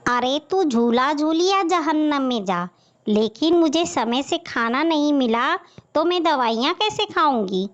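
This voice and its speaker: Casting: woman as elderly scolding crow matriarch, moral-story cartoon, slow sarcastic delivery, slightly muffled audio. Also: high voice, animated. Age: older. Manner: scolding, sarcastic, self-pitying. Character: bitter, nagging elderly mother-in-law crow.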